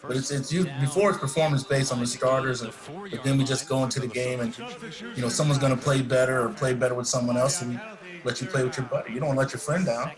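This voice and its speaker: Rambling, plainspoken football coach. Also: male voice, mid-range voice, fairly even intonation, fast talker, crowd noise, rough recording. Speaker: defensive line coach. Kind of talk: casual explanation.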